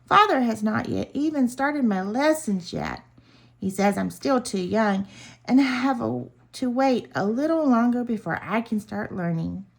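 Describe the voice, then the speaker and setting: Storybook baby dragon, young male, polite, worried and hesitant, lively intonation female reader acting it out. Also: a little high, measured pace, vocal fry, heavily acted. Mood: earnest.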